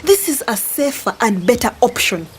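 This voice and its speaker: A woman with a Ugandan accent acting a frank, caring young village friend in a radio drama, full of expressive interjections. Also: on the high side, moderate pace, husky. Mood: firm, advising. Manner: expressive, with frequent interjections like "hmm" and "ah".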